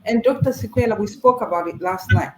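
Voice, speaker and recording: An older woman from Zambia and Zimbabwe leads a panel discussion, reflective and anecdotal as she hands the floor to her guests. Her voice sits in a roomy, echoey space, with a steady noise bed under it. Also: medium pitch, fairly quick. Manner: reflective, storytelling, inviting guests in. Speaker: talk show moderator.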